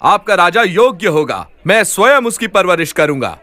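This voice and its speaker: A man's voice: high voice, sing-song, moderate pace, pushed and bright, no echo, full character voice. A man playing a noble, resolute prince in a mythological cartoon, speaking in formal, declamatory lines. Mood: reassuring, earnest. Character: Bhishma, noble resolute prince making solemn vows. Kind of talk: dubbed mythological cartoon acting.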